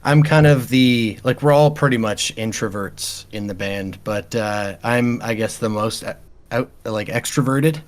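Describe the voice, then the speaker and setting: A man chatting casually as an interview guest, quiet and calm in his delivery. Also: mid-range voice, measured pace. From Canada. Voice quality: quiet speaking voice. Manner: calm, easygoing. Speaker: metal band vocalist, podcast guest.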